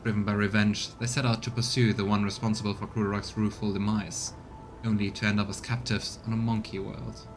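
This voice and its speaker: A man's voice: lowish voice, flat delivery, fairly quick, natural voice. A man voicing a scornful, grieving alien elf farseer from a game, solemn, haughty and archaic in speech. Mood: grave. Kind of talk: voiced reading of game dialogue. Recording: music behind the voice.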